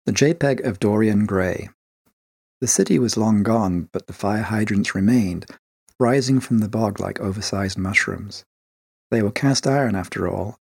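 A man with a slightly husky voice narrating a scripted short story as in an audiobook. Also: lowish voice, a little mellow, no echo, clean studio sound.

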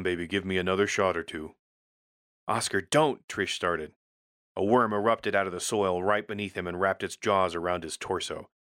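A man with a very deep, forceful voice narrates scripted first-person fiction. He tells it tersely, like a gritty soldier. The sound is close and dry. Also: no echo. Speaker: story narrator.

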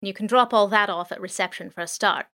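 A woman voicing a playful, chatty male drone that talks with natural pauses and indecision. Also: mid-range voice, a bit bright. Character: loud talkative male surveillance drone, playful.

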